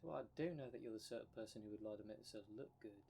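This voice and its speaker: Guy doing a cheeky dream demon bloke, quick talker, low voice, blunt n slangy. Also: gravelly, dark tone, slight background noise. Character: blunt, cheeky dream demon, bickering half of split creature. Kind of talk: ASMR roleplay audio drama.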